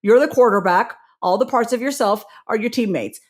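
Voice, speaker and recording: A woman with a New York accent, a guest on a podcast, who coaches in an animated, encouraging way and laughs often. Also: a little high, fairly quick. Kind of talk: casual podcast conversation.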